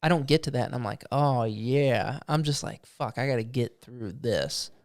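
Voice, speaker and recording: A man's voice: medium pitch, normal speed, a little mellow. A man chatting casually as a podcast co-host, agreeable and informal, with a loose, sweary way of talking. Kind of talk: casual chat.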